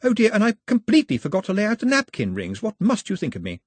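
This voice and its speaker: A man's voice: sits high, wildly swooping pitch, fast, dry recording, studio quality, voice pushed up, exaggerated voice. A man voicing an anxious, chatty shipwrecked young girl who rambles out apologies.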